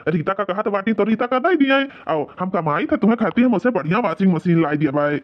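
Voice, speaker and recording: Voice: medium pitch, animated, fast, dull audio, natural voice. A performer voicing an agreeable, reassuring village family man in an Awadhi cartoon comedy, with a coaxing manner and an Awadhi accent. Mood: soothing.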